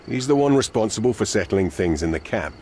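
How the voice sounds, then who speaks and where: An actor playing a weary, cynical older police inspector in a game, dry and sardonic. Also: male voice, lowish voice, expressive, a little fast, a little mellow, slight background noise, close to an everyday voice. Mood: dry, dismissive. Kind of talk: dramatic game cutscene dialogue. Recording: faint night insect ambience behind.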